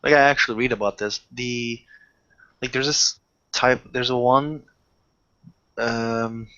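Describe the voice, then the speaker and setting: A man chatting casually as a player in a roleplaying group, halting and repeating his words. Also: medium pitch, steady tone, bright, forceful tone, smooth, muffled recording.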